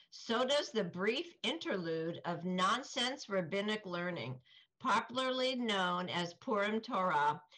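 A woman's voice: medium pitch, fairly bright tone, poor audio. An older woman reading scripted narration over a video call. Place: small indoor room, on video call. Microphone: video call, close to laptop.

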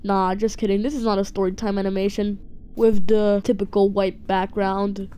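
A woman's voice: mid-range voice, flat delivery, normal speed, dark tone, smooth. A woman improvising a comedic amateur voice-over for an animation, rambling, repeating herself and swearing.

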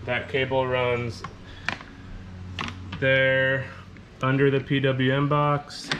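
A man's voice: medium pitch, takes it slowly, highs cut off. Easygoing man in a garage. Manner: relaxed, explanatory, repeats himself. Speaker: fishing channel host. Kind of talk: casual DIY walkthrough. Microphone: action camera on head or chest. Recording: camera mic with clear background noise.

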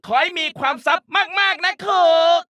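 A woman's voice: extremely high pitch, moderate pace, pushed and bright, no room sound. A woman voicing a bratty, playful, exaggerated cartoon character for a card-game voice pack. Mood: cheerful.

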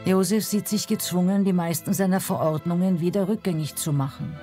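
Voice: medium pitch, steady tone, fairly quick, warm tone. A narrator reading a scripted documentary narration. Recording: music bed under narration. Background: music.

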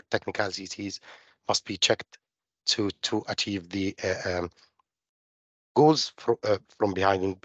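A middle-aged man from Jordan, giving a technical webinar presentation over a video call, halting with many fillers and restarts. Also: low-pitched, fairly bright tone, no echo. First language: Arabic. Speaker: webinar presenter on open finance.

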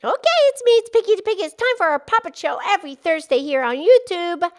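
A woman voicing a cheerful, excitable young pig puppet with a childlike, folksy manner in a children's puppet show. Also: very high voice, fast. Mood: excited, cheerful. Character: cheerful, chatty young pig puppet explaining animal facts.

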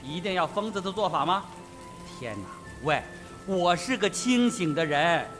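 Sardonic colonel played by a male actor for a radio whodunit: higher-pitched, very animated, needling and self-possessed. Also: unhurried, over music. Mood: scornful, defiant. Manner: mocking, provocative. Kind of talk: Mandarin radio mystery drama acting.

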